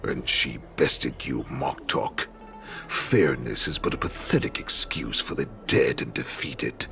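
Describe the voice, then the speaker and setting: An actor playing an ancient wolfish warlord in a video game, menacing, contemptuous and commanding, speaking in an imperious growl. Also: male voice, a bit bright, faint music behind, heavily acted. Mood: stern, mocking. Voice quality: very deep gravelly growl. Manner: slow, imperious, mocking. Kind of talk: dramatic video game voice acting.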